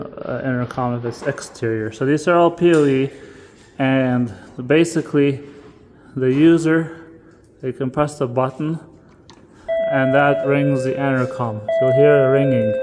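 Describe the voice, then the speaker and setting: A man's voice: mid-range voice, a little mellow. Man giving a casual walkthrough, noisy recording. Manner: informal, step-by-step explaining. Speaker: instructor demonstrating equipment. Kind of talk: casual hands-on tutorial.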